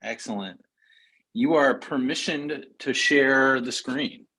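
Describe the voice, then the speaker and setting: A man's voice: mid-range voice, takes it slowly, sharp tone, husky, low-quality recording. A man hosting a work meeting on a video call, teasing in a playful, mock-formal way. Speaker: meeting host.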